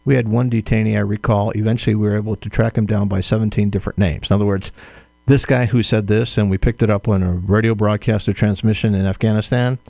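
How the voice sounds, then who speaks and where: A man answering interview questions as an author, in a measured, firm, explanatory way. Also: on the low side, gravelly, darkish tone, no room sound.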